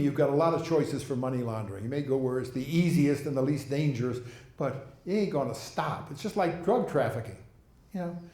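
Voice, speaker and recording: An older man delivers a loose, rambling lecture on policy, laughing softly at his own stories. His voice sits a little high for a man. The sound is roomy, like a hall. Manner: rambling, chuckling asides. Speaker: speaker on foreign policy. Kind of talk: lecture answering audience questions.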